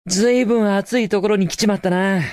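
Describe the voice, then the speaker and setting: A man's voice: high voice, fairly even intonation, fast, darkish tone, no echo, studio quality, natural voice. A male actor voicing a cocky, daring young cartoon hedgehog hero in a video game, with cool, confident, casual delivery. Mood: observant, cool. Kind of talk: video game voice acting, short in-game lines.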